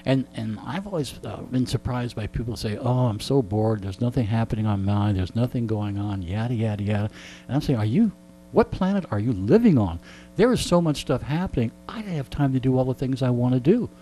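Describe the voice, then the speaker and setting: A man's voice: medium pitch, expressive, fairly quick, warm tone, slight background noise, poor audio. A middle-aged man, a veteran radio host, chatting and telling stories in a rambling, dryly joking way as he is interviewed. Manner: joking, rambling, dry humour. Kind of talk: casual storytelling interview chat.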